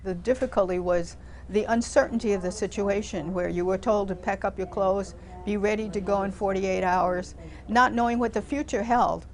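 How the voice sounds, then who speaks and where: An elderly woman in her eighties recounts her memories in an interview. Her voice is middle-pitched, and she speaks thoughtfully, pausing now and then to find her words. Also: fairly even intonation, slight background noise, low-quality recording. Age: 80s. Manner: thoughtful, with small hesitations. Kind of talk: documentary interview recollection.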